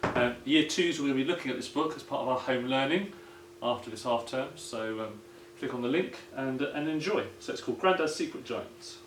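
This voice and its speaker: A man's voice: medium pitch, fairly quick. A man, a primary school teacher, reading a children's story aloud. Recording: roomy echo, some background noise.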